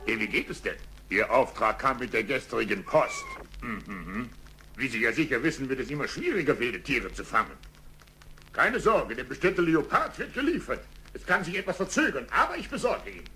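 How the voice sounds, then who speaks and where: An actor playing a harried, wheedling wild animal dealer, eager and flustered, in a cartoon dub. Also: male voice, medium pitch, very animated, normal speed, bright, forceful tone, breathy edge, heavily acted. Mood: eager, placating. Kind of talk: German-dubbed cartoon dialogue.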